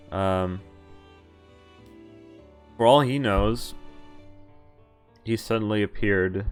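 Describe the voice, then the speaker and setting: Man streamer reading a visual novel aloud. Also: on the low side. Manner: reads story lines aloud, then breaks off to react and explain; animated exclamations and groans.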